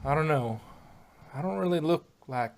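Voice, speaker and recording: A man's voice: a little high, expressive, normal speed, creaky, a little mellow, a little hiss or hum, pitched above the performer's own voice. A man voicing a plain-spoken, folksy female country pony. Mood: doubtful.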